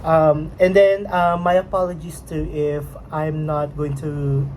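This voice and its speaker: A young woman from the Philippines speaks English as a second language, with a clear Filipino accent. Her voice sits low and mellow, and she pauses and hesitates often as she searches for the right words. A faint background hum runs under her casual vlog talk. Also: level tone, poor audio. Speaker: vlogger. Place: home at night.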